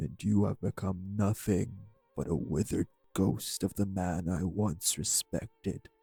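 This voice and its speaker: A man playing an arrogant, obsessive vampire villain in an audio drama, with a dark, raspy voice and grandiose, measured menace. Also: sits low, steady tone, no echo, soft background music, full character voice. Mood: contemptuous. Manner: grandiose, formal, measured threats. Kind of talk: dramatic audio roleplay acting.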